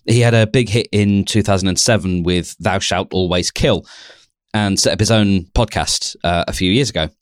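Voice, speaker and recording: Host: man with a lowish voice, dry close mic, deadpan self-mocking patter. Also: moderate pace, clean tone, no echo. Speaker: podcast host. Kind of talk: scripted intro and interview questions.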